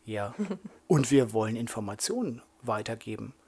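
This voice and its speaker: Gravelly-voiced northern German man. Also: on the low side, lively intonation, normal speed, darkish tone. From Lower Saxony, Germany. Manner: chatty, enthusiastic, thinking aloud. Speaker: podcast co-host. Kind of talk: casual podcast chat.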